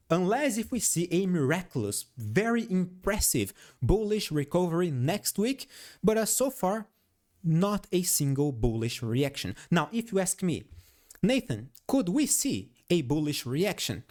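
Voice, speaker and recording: A man's voice: a little high, a little mellow. A man speaking English as a second language with a noticeable foreign accent. He walks through stock charts with emphatic, repeated phrases and keeps checking in with the viewers. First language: not English. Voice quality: noticeable non-native accent. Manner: emphatic, repeats phrases, frequent "all right?" check-ins. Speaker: stock market analyst. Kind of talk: technical analysis commentary over charts.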